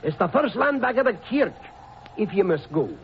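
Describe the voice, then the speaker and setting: An actor with a Scottish accent playing a superstitious, grudging old cabman in a 1940s radio play, giving drawn-out, ominous warnings. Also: high-pitched, big pitch swings. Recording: tinny old radio broadcast, faint hiss.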